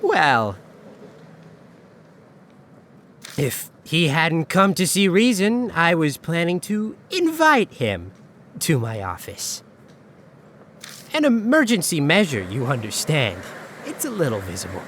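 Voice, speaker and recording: Composed young gang heir with lively pitch played by a man. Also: a little slow, a little hiss or hum, exaggerated voice. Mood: casual, assured. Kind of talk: visual novel fan dub acting.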